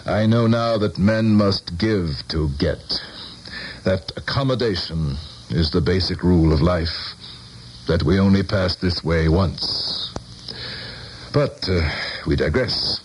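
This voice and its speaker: An actor playing a cynical, condescending middle-aged politician in a radio drama, speaking formal, measured American English. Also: male voice, deep voice, husky, exaggerated voice. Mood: measured, reflective. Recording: noisy old radio broadcast.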